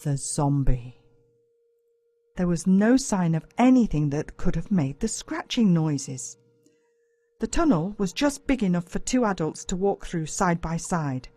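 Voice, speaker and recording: A woman's voice: medium pitch, dry recording. A woman with an Australian accent narrating a children's story in a dramatic, suspenseful way. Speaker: audiobook narrator. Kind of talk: scripted first-person story narration for children.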